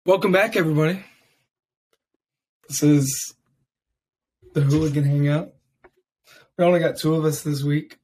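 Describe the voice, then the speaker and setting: A young man with a lasting raspy voice hosts a laid-back podcast. His pitch sits a bit high for a man, and he talks in a loose, rambling way full of fillers. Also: fairly even intonation, moderate pace, pauses often, a little mellow. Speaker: podcast host. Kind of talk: casual chat.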